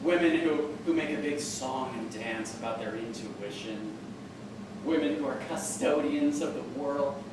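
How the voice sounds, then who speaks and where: An actor playing a cynical, mocking husband who holds forth in slow, sardonic pronouncements. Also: male voice, mid-range voice, lightly acted. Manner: mocking, drawn-out pronouncements with dry laughs. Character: sardonic, cynical middle-aged husband who lectures and mocks. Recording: roomy, distant mic with background noise.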